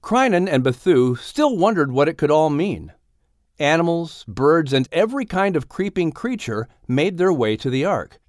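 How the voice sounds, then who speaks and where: A man reading a children's audiobook story as a scripted, expressive narrator. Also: a little high, moderate pace, no room sound, studio quality.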